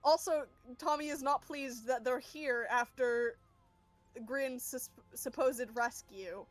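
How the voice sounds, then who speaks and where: A woman's voice: high voice, takes it slowly, no echo, faint music behind. A woman playing a tabletop game, chatting casually and narrating what a character feels.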